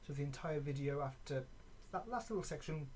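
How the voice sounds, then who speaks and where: Gaming vlogger chatting casually, kinda halting, mid pitch voice, bit of background noise. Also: animated, a little mellow. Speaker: gaming video creator. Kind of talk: casual vlog chat showing items. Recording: clearly noisy background.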